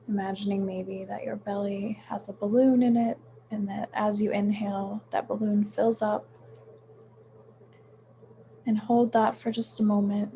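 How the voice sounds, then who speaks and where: A woman leading a guided meditation in a soothing, unhurried manner, with frequent pauses between short phrases. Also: medium pitch, warm tone, slight background noise. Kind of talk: guided meditation, gentle instruction.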